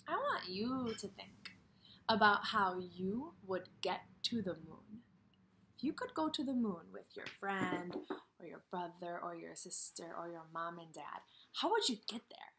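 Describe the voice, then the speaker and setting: A woman's voice: medium pitch, fairly bright tone. A woman kindergarten teacher reading a story aloud expressively and putting questions directly to young children.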